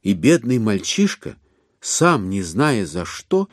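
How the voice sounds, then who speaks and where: A man narrating classic literature for an audio drama, expressively, with measured phrasing and dramatic pauses. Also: fairly low pitch, big pitch swings, no echo, studio quality.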